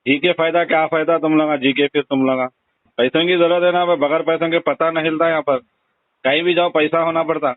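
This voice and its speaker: A man's voice: fairly high pitch, steady tone, fairly quick, no room sound. A man with a Hyderabadi accent talking bluntly and insistently in a casual conversation over the telephone. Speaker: phone call recipient. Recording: tinny narrowband phone call recording.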